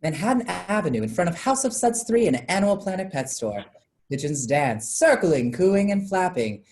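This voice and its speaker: A woman's voice: lowish voice, sing-song, moderate pace, a little mellow. A woman reading stage directions as scripted narration for a staged play.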